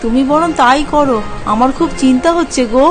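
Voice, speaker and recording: A woman's voice: sits high, a little fast, close to an everyday voice. A woman voicing an anxious village housewife and mother in a cartoon story, talking in a worried, homely way. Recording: music under the voice.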